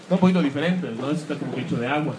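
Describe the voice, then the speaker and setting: A man's voice: a little high, rapid-fire. A man giving a live, joking talk about comics to an audience in a room. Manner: joking, self-mocking, animated asides. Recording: clear background noise.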